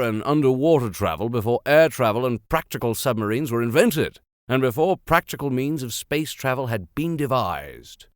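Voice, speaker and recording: A man reading classic literature aloud in an expressive, theatrical and playful way. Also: mid-range voice, clean tone, dry recording, clean studio sound. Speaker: audiobook reader. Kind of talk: expressive narration of classic literature with casual asides.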